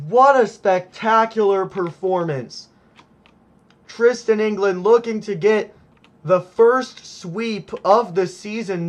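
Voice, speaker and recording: A man commentating on a simulated monster truck event in an excited play-by-play style, hyped and exclaiming often, as his voice starts to go. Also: high voice. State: losing his voice.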